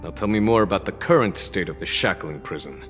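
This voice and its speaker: An actor playing a composed, authoritative general in a game cutscene, speaking in a measured way. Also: male voice, deep voice, animated, a bit bright, big-room sound, soft background music. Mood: calm. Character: calm, composed male general in his prime.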